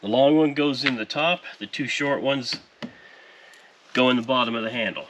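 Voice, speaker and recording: A man's voice: medium pitch, normal speed, background noise. A man presenting a casual car audio installation tutorial, explaining each step in a conversational way in a workshop. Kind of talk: casual how-to vlog narration. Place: car repair shop.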